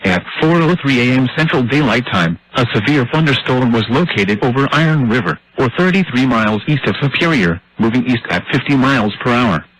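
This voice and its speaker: A synthetic male voice reading formal emergency weather warnings in a measured, impersonal way over a radio broadcast. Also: mid-range voice, expressive, a bit bright, poor audio. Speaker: automated weather radio announcer. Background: radio static and hiss.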